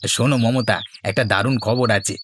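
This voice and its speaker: A man as an excitable, doubting cartoon villager. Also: on the low side, moderate pace, a little hiss or hum, lightly acted. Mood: hopeful. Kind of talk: comic Bengali cartoon dialogue. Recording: music behind the voice.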